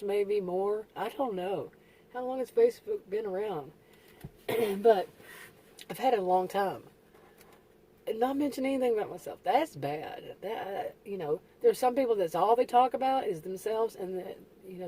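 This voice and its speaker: A middle-aged woman talking in a rambling, halting personal vlog, correcting herself often, her voice getting worn. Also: mid-range voice, mellow, a little hiss or hum, low-quality recording. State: losing her voice. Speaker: vlogger. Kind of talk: casual personal vlog monologue.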